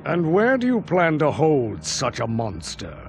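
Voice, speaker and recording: An actor playing a proud, bitter mutant leader in a video game, with a grave, formal, declamatory delivery. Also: male voice, a little high, huge pitch swings, a little slow, noisy background, heavily acted. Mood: stern, suspicious. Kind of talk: video game cutscene acting.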